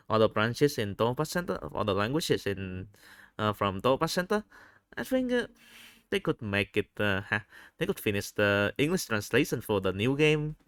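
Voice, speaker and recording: A man with a Vietnamese accent recording a spontaneous, unscripted audio log, speaking haltingly and often rephrasing himself. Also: fairly low pitch, a bit bright, clear, resonant tone, no room sound, studio quality. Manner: halting, repeats and rephrases phrases.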